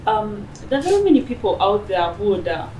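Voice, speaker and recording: A woman hosting a talk show, asking questions in a chatty, halting way. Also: mid-range voice, sing-song, dark tone. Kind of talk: casual interview hosting. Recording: echoey, distant mic, noisy.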